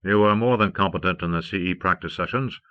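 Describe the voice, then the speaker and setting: A man's voice: low voice, fast talker, natural voice. A man reading an audiobook, playing a commanding, impatient magnate with an exasperated, articulate manner. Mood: brisk, reassuring. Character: commanding, impatient magnate browbeating his younger-looking brother. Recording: muffled old cassette audiobook transfer.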